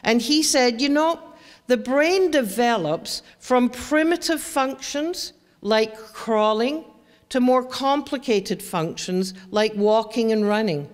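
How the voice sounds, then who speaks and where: A woman's voice: on the high side, lively intonation, slow pace. A woman with a Scottish accent gives a chatty educational talk to parents and often asks the audience questions. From Scotland. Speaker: presenter. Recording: long hall reverb.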